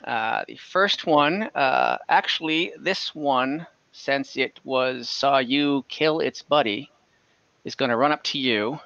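A man's voice: medium pitch, slow pace, vocal fry, a bit bright, no room sound. A young man running a tabletop game over a video call, casual and hesitant with many fillers. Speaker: game master. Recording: dull lo-fi call audio.

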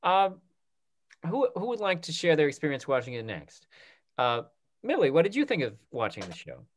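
A man's voice: fairly high pitch, huge pitch swings, normal speed. A man, sounding in his thirties, moderating a talk-back panel over a video call. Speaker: host, moderator.

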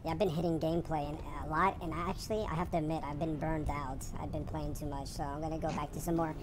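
A woman streamer chatting casually with fans during an online game, upbeat and quick to exclaim. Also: sits low, steady tone, background noise. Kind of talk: casual gaming chat.

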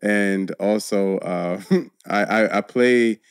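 Lively laughing man telling stories. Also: lowish voice, slow pace, clean tone, no room sound, clean studio sound. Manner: animated storyteller, laughs often. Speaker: guest, actor and performer. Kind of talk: casual interview chat. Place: podcast studio. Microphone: studio mic on boom arm, headphones.

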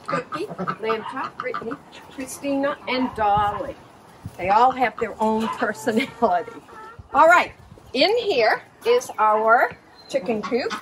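A middle-aged woman hosts a children's show-and-tell in a high voice. She takes her time, explaining simply and haltingly. It is recorded outdoors, with clear background noise. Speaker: children's farm storytime host. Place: outdoors in a backyard farm pen. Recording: noisy outdoor recording with clicks.

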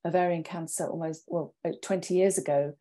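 A woman in her fifties speaking as a panelist in a deliberate, reflective way, pausing between phrases. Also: on the low side, steady tone, warm tone. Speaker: therapist, panelist. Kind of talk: measured reflective talk. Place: home office, indoors. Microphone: wired earphones, video call.

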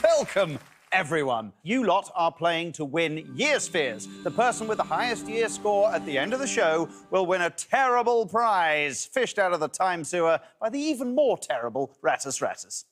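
A man's voice: sits high, sing-song, moderate pace, over music. A man in his fifties hosting a children's game show in a TV studio, upbeat and jokey. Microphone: lapel mic.

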